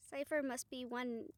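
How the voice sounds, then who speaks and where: A tired vampire huntress, acted by a woman with a fairly high voice. She speaks softly and earnestly, often hesitating, as if confiding in a trusted friend in an intimate audio drama. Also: dry recording. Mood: earnest, admiring. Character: weary, apologetic female vampire hunter confiding in a friend. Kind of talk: intimate audio roleplay to the listener.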